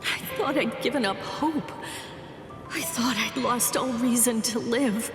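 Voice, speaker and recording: A woman voicing an earnest, distraught young captive warrior in an anime game dub, halting and intense. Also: fairly high pitch. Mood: troubled, reflective. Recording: echoey hall reverb, music behind.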